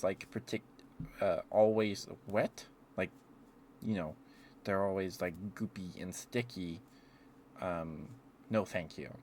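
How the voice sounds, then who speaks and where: A man's voice: low-pitched, a little mellow, slight background noise. A man streaming casual, rambling ASMR chat, musing aloud hesitantly and letting thoughts trail off.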